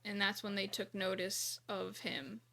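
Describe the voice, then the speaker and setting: A woman's voice: medium pitch, little pitch movement, a little slow, vocal fry, sharp tone. A middle-aged woman in casual podcast chat, hesitant and often repeating herself as she goes. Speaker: podcast co-host.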